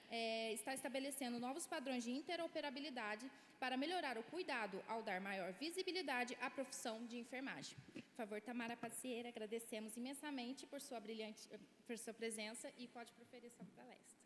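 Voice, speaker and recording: A woman hosting an event reads a formal introduction from a script, with an occasional slip. Her voice sits fairly high. The recording is echoey, with a long hall reverb and faint room ambience. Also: fairly quick, a little hiss or hum. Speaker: event host introducing the speaker. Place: large auditorium.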